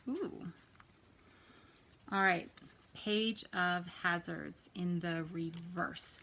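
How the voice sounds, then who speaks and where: A woman in her thirties with a quiet, soft voice, chatting casually as she gives a tarot reading. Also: lowish voice, fairly even intonation, very slow, creaky, slight background noise. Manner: chatty, lots of ums and likes. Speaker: tarot reader.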